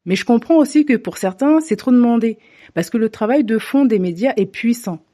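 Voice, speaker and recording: A woman from Africa delivering a scripted opinion monologue to camera, measured and deliberate with pointed asides. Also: fairly high pitch, lively intonation, warm tone. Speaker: commentator.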